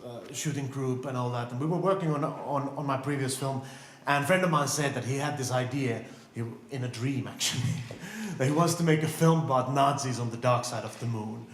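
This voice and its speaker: A man's voice: medium pitch, fairly quick, a little hiss or hum. A Finnish-accented man talking to an audience with a mid-range voice, cracking self-deprecating jokes and laughing between lines. His voice rings out in a roomy event hall. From Finland. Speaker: film director, panel speaker. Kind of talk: humorous conference talk. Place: large event room.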